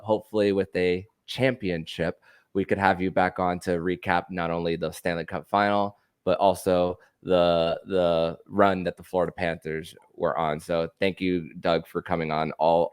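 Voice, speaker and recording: A man hosting a sports podcast, asking questions and thanking his guest, halting with many fillers and restarts. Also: sits low, flat delivery, clear, resonant tone, no echo, clean studio sound. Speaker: podcast host. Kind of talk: casual sports podcast interview.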